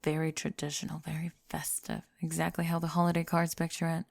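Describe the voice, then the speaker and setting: A woman playing a prickly but secretly affectionate tomboy friend in an ASMR roleplay, sleepy and often whispering, deadpan and grudgingly tender. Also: low-pitched, fairly quick, vocal fry, no echo, studio quality, pitched below the performer's own voice. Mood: dry amusement. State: drowsy. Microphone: close ASMR microphone.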